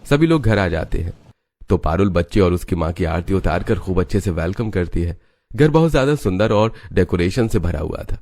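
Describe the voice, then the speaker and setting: A man's voice: sits low, animated, moderate pace, a little mellow, no echo. A man narrating a scripted family story for a cartoon.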